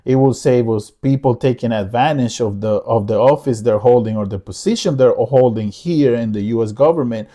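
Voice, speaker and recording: A man's voice: mid-range voice, normal speed, hardly any pauses, warm tone. A middle-aged man from the Dominican Republic giving his opinion in a casual vlog monologue, earnest and repetitive, often restarting his sentences. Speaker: vlogger.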